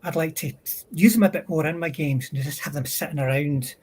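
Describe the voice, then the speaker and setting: A man with a husky voice chatting casually as a guest on a hobby livestream. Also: fairly high pitch, fairly quick, darkish tone.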